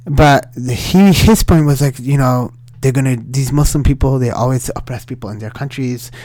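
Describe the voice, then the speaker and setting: Young man from Sri Lanka, animated rambling storyteller, mid-pitched, dry close sound. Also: normal speed, no echo. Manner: animated, rambling with many fillers and restarts. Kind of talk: casual storytelling.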